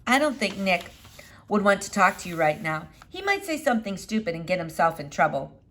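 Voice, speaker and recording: Lady playing a cheeky school kid, a boy in a storybook, casual, lively pitch. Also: a little fast, a little hiss or hum. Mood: casual, teasing. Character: cheeky, easygoing fifth-grade schoolboys. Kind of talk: children's storybook read-aloud.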